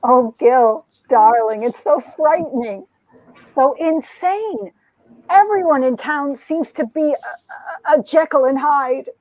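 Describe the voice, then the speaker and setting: A woman's voice: sits high, animated, slow pace, darkish tone, dry recording. A woman playing an earnest young heroine telling her troubled story in a theatrical, emotive romance-comic reading. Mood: frightened. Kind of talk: dramatic comic-book reading, melodramatic first-person narration. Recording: dull, lo-fi recording.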